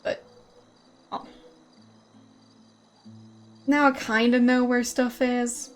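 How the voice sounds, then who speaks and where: Woman streamer, German native speaking English, fairly high voice, slow unhurried pace, hesitant with fillers. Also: flat delivery, faint music behind. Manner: hesitant, many fillers and little laughs. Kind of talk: casual stream chat with viewers.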